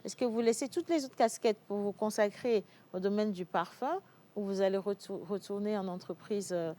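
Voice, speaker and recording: A woman hosting a TV talk show in a studio, interviewing a guest with quick, jokey asides. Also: medium pitch, dark tone.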